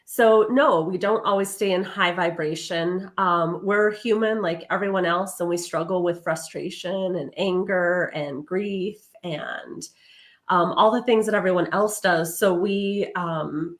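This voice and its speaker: Woman host, lowish voice, chatty explainer, live-streamed talk show. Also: normal speed. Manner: chatty, explains terms for viewers. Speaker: podcast host. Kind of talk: casual spiritual interview chat. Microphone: livestream video call.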